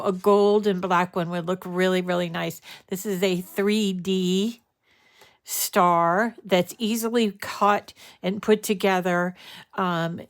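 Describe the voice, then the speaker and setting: A woman leads a craft tutorial in a relaxed, drawn-out way, stuffed up from a cold. She rambles and fills pauses as she goes. Her pitch sits in an ordinary middle range. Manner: chatty, rambling with fillers. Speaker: crafting show host.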